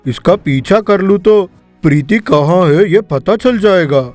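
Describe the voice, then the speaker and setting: A woman voicing a sly, scheming hired thug in a cartoon story, talking low and gruff to himself. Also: expressive, unhurried, a little mellow, dry recording, faint music behind.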